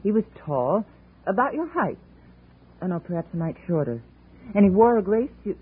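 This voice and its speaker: A woman's voice: mid-range voice, very animated, moderate pace, husky. An actress playing an earnest, proud young woman, the niece of an old collector, in a 1950s radio drama. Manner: earnest, flaring into indignation. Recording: tinny old radio broadcast, faint hiss.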